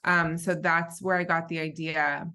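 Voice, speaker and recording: A woman's voice: deep voice, little pitch movement, a little slow, pushed and bright, smooth. An American woman in her thirties, from Southern California, answering conversationally as a guest in a podcast interview. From Southern California, born in Israel. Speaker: podcast guest, business founder.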